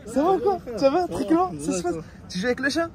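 A young man, narrating a travel vlog excitedly into a phone held at arm's length. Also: extremely high pitch. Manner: excited, fast, chatty. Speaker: vlogger. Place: outdoors and in a large crowded mosque. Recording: loud crowd and street noise.

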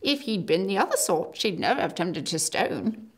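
A woman voicing a gentle, fussy male faun who chats in a gossipy way. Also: mid-range voice, very animated, moderate pace, husky. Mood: chatty.

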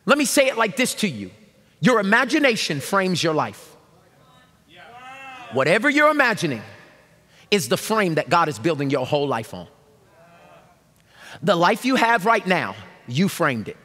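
A man in his thirties preaching a sermon from a large church stage, animated and shouting call-and-response lines to the congregation. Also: high voice, normal speed, long pauses. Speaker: pastor. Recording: long reverb of a large hall.

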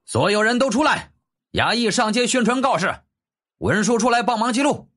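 A man's voice: very high voice, lively intonation, normal speed, a bit bright, voice pushed up, exaggerated voice. A man voicing an authoritative male government official in an audiobook, commanding and inquisitive. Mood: shouting orders. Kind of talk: audiobook character acting.

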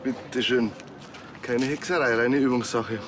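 An actor playing a cocky, grumbling, talkative police helper in a TV comedy. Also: male voice, medium pitch, steady tone, unhurried, background noise, lightly acted. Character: chatty, grumbling, cocky male helper of two policemen, Yazid. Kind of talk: screen acting in a police comedy series.

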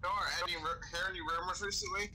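A man's voice: high-pitched, steady tone, fast talker, sharp tone, poor audio. A man playing a chatty, sweary sci-fi crewman who knows his weapons, improvising casually in a game roleplay. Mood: curious. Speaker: Star Wars roleplay player. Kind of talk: improvised in-character gaming chat. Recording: gaming voice chat with background noise.

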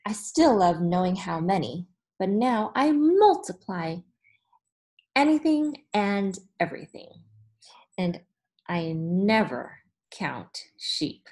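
Female teacher, thirties, storybook read-aloud; very slow, deliberate, expressive phrasing with clear pauses. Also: medium pitch. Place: small room in front of a whiteboard, indoors.

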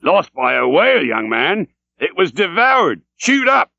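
A man's voice: sing-song, normal speed, creaky, a bit bright, no room sound, highs cut off, full character voice. A man voicing a fierce, blustering old male sea captain who barks archaic questions. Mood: fierce, lecturing. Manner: booming, archaic, interrogating.

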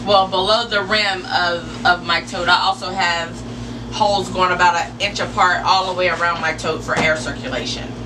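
A woman hosting an informal step-by-step how-to demonstration in a deliberate, instructional way, picked up by a camera a few metres away. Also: mid-range voice. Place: enclosed porch or sunroom. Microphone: camera mic a few metres away. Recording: distant mic, clearly noisy with background hum.

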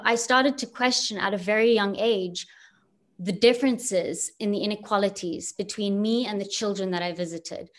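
A woman's voice: medium pitch, fairly bright tone. A woman from Zimbabwe giving a webinar talk, telling her own story with earnest rhetorical questions over a video call. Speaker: guest speaker, entrepreneur. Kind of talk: webinar presentation.

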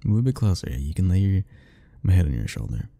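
Gravelly, dark-voiced young man, teasing. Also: sits low, quick pace. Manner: teasing, relaxed, laughs often. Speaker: ASMR roleplay narrator. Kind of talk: ASMR roleplay as a roommate speaking to the listener.

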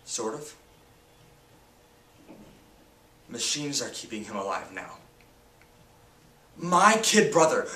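A man plays a bereaved teenager in a dramatic monologue, with a high, sharp-edged voice. The delivery is raw and halting, moving between hushed and shouted grief. It is picked up from a distance in an echoey room. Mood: numb, grieving. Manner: emotional, halting, swinging from whispers to shouts. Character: grief-stricken teenager who lost the whole family.

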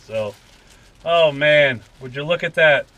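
An American man in his fifties talking in a folksy, unhurried way in a casual vlog from a truck cab. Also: a little high, pushed and bright, highs cut off. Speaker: vlogger. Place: inside a parked truck cab.

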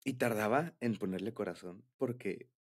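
Young male, Mexican accent, deep and breathy, for shy, intimate boyfriend-style ASMR talk. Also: normal speed. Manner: bashful, hesitant, giggly. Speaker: ASMR roleplay creator.

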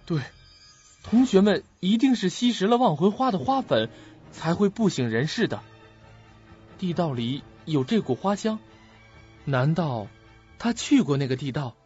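An actor in an audio drama playing an earnest, puzzled young student who questions and muses to himself. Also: male voice, a little high, sing-song, unhurried, long pauses, a little mellow, breathy edge, faint music behind, exaggerated voice. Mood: suspicious musing. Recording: muffled lo-fi audio.

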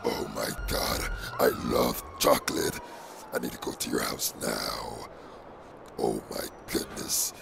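A man voicing a hungry, greedy alien symbiote creature in a very deep, gravelly, whispery voice through a pitched-down effect, talking playfully and teasingly. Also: flat delivery, takes it slowly, background noise, exaggerated voice.

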